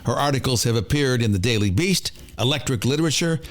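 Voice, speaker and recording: A man's voice: medium pitch, lively intonation, fast. A man hosting an interview, introducing his guest and asking questions. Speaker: podcast host and interviewer.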